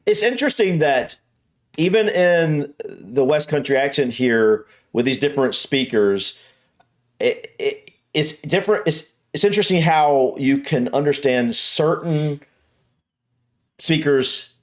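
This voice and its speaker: A man's voice: a little high, animated, measured pace. A man with a Southern American accent, co-hosting a reaction video and thinking aloud. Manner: thinking aloud, repeats words. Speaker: reaction channel co-host. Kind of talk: casual reaction chat.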